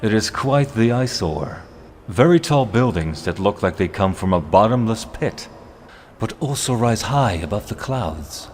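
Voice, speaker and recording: A man's voice: lowish voice, lively intonation, measured pace, slight background noise. A man playing a refined, haughty vampire henchman in a fantasy audio drama, with a formal, wry, drawling delivery. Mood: informative, wry.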